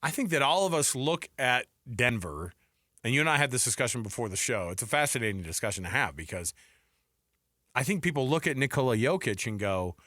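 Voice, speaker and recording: An American man co-hosting an unscripted sports talk show, opinionated and animated, often restarting mid-thought and throwing out rhetorical questions. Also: medium pitch, fairly quick, no room sound, studio quality. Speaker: sports radio co-host.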